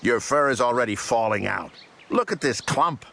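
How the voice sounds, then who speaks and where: A man's voice: medium pitch, lively intonation, normal speed, vocal fry, sharp tone, exaggerated voice. A man voicing a pushy, grinning delivery mouse in a children's audiobook, eager and insistent. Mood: knowing, cocky.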